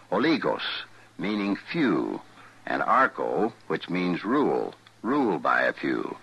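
A low-voiced man narrates an educational radio script. His delivery is animated and didactic, with a slightly rough, husky edge. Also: rough recording.

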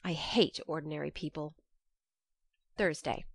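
Low-pitched woman narrating a classic story. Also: unhurried, creaky, no echo, studio quality. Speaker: audiobook narrator. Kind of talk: expressive scripted literary narration in first person.

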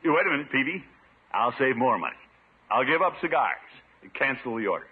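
An actor playing a pompous, blustering middle-aged uncle who chuckles and preaches in a 1950s radio sitcom. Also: male voice, mid-range voice, very animated, a little fast, slightly rough. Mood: smug. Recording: muffled 1950s radio broadcast.

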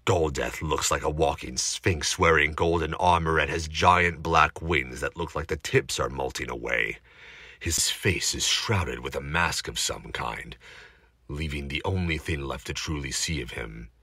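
A man's voice: extremely low pitch, unhurried, bright, forceful tone, slightly rough, no room sound. A man giving dramatic scripted narration of an action story in an amateur audio drama. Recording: noisy amateur recording with heavy background noise.

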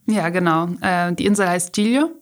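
A woman's voice: fairly low pitch, normal speed. A young woman from Rome, a podcast guest, talking lightly and hesitantly with many fillers. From Rome, Italy. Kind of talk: casual interview chat.

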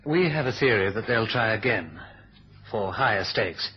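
An actor playing a deferential, composed British security official in a 1970s radio drama, speaking formally. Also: male voice, on the low side, lively intonation, normal speed, natural voice. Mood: formal, assured. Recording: dull radio recording with background noise.

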